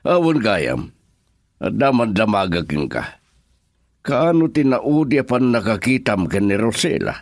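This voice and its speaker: Slow, lively-pitched bossy employer. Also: male voice, no echo, studio quality. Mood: businesslike. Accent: Ilocano. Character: bossy male employer, controlling and stern. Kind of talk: Ilocano radio drama acting.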